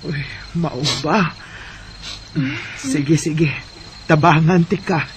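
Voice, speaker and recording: Generous older Visayan auntie for radio drama: unhurried, low, reassuring delivery, faint background noise. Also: female voice. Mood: concerned, encouraging. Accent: Visayan Filipino. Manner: gentle, reassuring. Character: kind, generous older unmarried woman helping a family.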